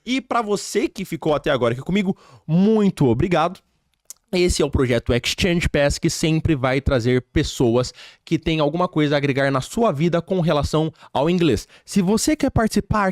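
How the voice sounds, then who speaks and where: A man's voice: on the high side, a little fast, no room sound. Brief: Brazilian male podcast host, emphatic and chatty, speaks straight to viewers, close dry sound. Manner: animated, emphatic, addresses the audience directly. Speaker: podcast host and English teacher. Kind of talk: casual interview chat. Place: podcast studio.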